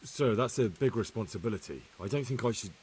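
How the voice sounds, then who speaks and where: Game role: male actor as humble young soldier reporting to his superior, fairly low, plain and respectful. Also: lively intonation, brisk, darkish tone, a little hiss or hum, close to an everyday voice. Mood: hesitant, humble. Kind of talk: video game cutscene acting.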